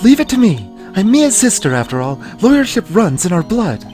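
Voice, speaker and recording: A woman voicing an earnest, plucky young woman in dramatised video game dialogue, with a soft, emotive delivery. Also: mid-range voice, big pitch swings, a little fast, soft background music, close to an everyday voice. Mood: eager, determined.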